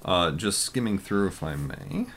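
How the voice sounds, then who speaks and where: Loud deep-voiced man rambling on a podcast. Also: expressive, measured pace, a little hiss or hum. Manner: loud, rambling with many fillers. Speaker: podcast co-host. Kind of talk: casual podcast chat.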